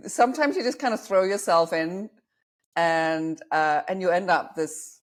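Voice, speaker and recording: A woman's voice: on the low side, a little slow. A woman in her forties from Denmark, a guest on a podcast talking about her life as a dating coach, reflective and self-deprecating. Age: 40s. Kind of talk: podcast interview.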